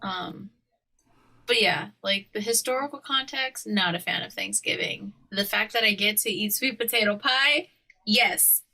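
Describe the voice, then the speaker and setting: Bright-voiced young woman who laughs a lot. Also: medium pitch, unhurried, gravelly. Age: young adult. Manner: laughs often, animated storytelling. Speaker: podcast co-host. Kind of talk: casual chat.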